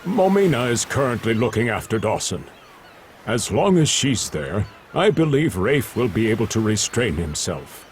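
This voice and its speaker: An actor playing a gentle, thoughtful doctor in a video game cutscene, with a polite, considered way of speaking. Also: male voice, lowish voice, big pitch swings, moderate pace, a little hiss or hum. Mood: calm, reflective.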